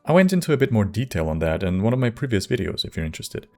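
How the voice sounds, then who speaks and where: A man narrating a scripted video essay as host, measured, with wry asides. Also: lowish voice, big pitch swings. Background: faint music.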